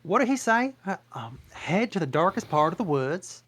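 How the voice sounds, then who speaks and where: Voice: mid-range voice, big pitch swings, normal speed, a bit bright. A reader voicing a chatty, cocky talking donkey in a table read, with a sassy, defensive manner.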